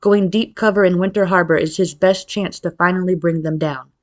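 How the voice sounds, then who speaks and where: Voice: medium pitch, steady tone, a little fast. A narrator reading a scripted suspense blurb in a dramatic style.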